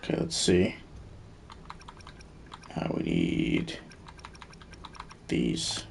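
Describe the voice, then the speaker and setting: A man's voice: very deep voice, wildly swooping pitch, drawn-out words, gravelly, slight background noise. A man streaming a video game, giving casual commentary and muttering to himself as he thinks aloud. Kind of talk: casual live gameplay commentary.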